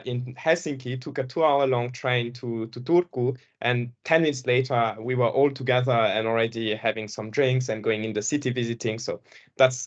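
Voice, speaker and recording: A man's voice: medium pitch, dull audio. A young man from Belgium whose first language is French, giving a casual presentation at an information session as a former student, often repeating and restarting his words.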